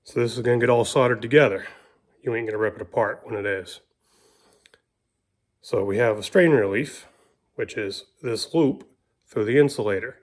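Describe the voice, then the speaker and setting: A man's voice: medium pitch, moderate pace, pauses often. A man talking casually and colloquially through a hands-on do-it-yourself job as he works, hosting his own how-to video. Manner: folksy, colloquial. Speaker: host of a DIY how-to video. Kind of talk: casual hands-on tutorial talk while working.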